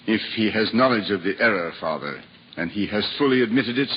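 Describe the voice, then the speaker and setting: Guy doing a patient wise old abbot, lowish voice but moves a lot, dull old radio sound. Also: brisk, lightly acted. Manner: calm, patient, gently dry. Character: calm, wise elderly abbot, man. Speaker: actor in a radio drama. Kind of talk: 1950s radio drama acting. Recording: lo-fi old radio broadcast, faint hiss.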